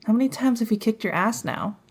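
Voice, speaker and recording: A woman's voice: a little high, brisk, slightly rough. A woman playing an earnest, determined young superhero girl in an audio drama, speaking directly and firmly. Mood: challenging.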